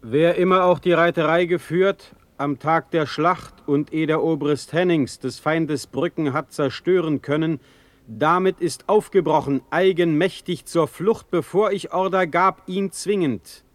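An actor playing a stern, imperious Prussian ruler in a classical verse radio drama, speaking in a measured, formal, commanding way. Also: male voice, fairly high pitch, dry recording, close to an everyday voice. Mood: stern resolve.

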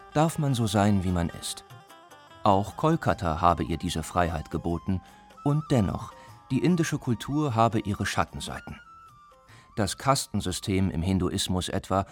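A man reading a scripted report as a reporter, even and measured. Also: lowish voice. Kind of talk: scripted narration.

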